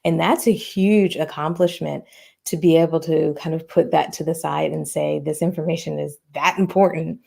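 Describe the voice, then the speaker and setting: A woman's voice: low voice, fairly even intonation, normal speed, gravelly, dark tone. A woman hosting a podcast interview, chatting casually with many fillers. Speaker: podcast host.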